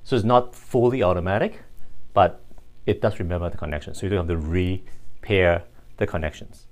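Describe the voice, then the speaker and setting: Male reviewer, lower-pitched, casual and unscripted, halting as he thinks aloud. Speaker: product reviewer. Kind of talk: casual hands-on demonstration, unscripted.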